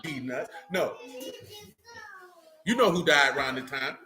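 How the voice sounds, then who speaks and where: A man's voice: medium pitch, expressive, unhurried, music in the background. A man with speech teaching a religious group informally, emphatic and streetwise, often checking in with his listeners.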